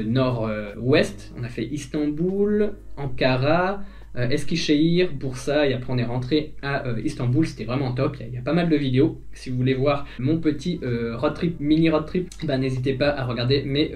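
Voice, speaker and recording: A man's voice: mid-range voice, a little mellow. A man from northern France, a vlogger chatting casually as he answers viewer questions, with many hesitations and fillers. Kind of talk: casual chat.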